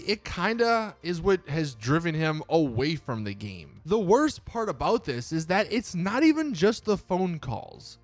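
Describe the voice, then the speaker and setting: A young man delivers casual gaming commentary in a fairly high voice. His pitch swings widely as he complains with exasperated emphasis. The recording is close and dry, with music playing faintly underneath. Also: no echo. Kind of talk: casual opinion commentary over gameplay. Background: background music.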